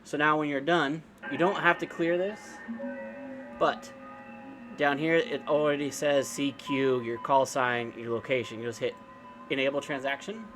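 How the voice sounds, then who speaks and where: A man giving an informal, unscripted software walkthrough, explaining step by step in a casual way. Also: medium pitch, fairly even intonation, moderate pace, over music. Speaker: amateur radio operator giving a software tutorial. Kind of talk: informal screen-share tutorial, unscripted walkthrough.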